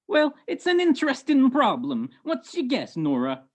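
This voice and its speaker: A woman's voice: high voice, huge pitch swings, moderate pace, darkish tone, heavily acted. A woman playing a suspicious old male police sergeant who talks in needling tough-guy slang in a radio detective play. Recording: muffled video-call recording.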